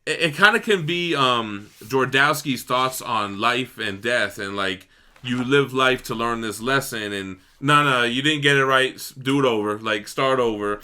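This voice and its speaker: Man, medium pitch, bright forceful voice, casual slangy review chat, animated storytelling. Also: unhurried. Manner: slangy, swearing, retells the story in excited bursts. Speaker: co-host reviewing a graphic novel. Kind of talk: casual chat, book discussion.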